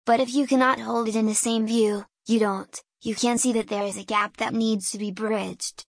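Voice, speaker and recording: A woman who grew up speaking talks in English, in a fairly high voice. She muses in a slow, deliberate, philosophical way and drifts from one thought to the next. The recording is dry, with no room echo. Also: fairly even intonation. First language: Hungarian. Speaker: small business owner. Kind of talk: reflective solo monologue.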